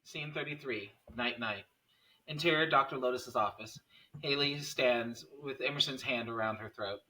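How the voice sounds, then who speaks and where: A man's voice: mid-range voice, normal speed, sharp tone, poor audio. A man reading stage directions as narrator at a screenplay table read, in scripted narration.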